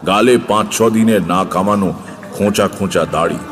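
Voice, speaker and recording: An actor playing a seasoned tantric sage who tells eerie true tales in a measured, unhurried way for an audio drama. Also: male voice, fairly low pitch, in a large hall, close to an everyday voice. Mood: grave, reflective. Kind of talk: dramatised audio story narration. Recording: faint background noise.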